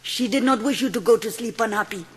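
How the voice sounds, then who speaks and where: Man acting a high, husky manservant. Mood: solemn, kindly. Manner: formal, halting broken English. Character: Indian manservant, devoted and formal, bringing good news. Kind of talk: dramatised audiobook acting. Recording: long reverb.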